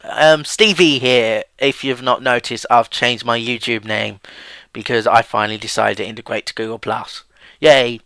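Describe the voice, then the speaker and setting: A man's voice: medium pitch, steady tone, moderate pace, creaky, sharp tone, smooth, no echo. A young man hosting a let's play with casual, self-deprecating game commentary. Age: young adult. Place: voice-over with game music behind.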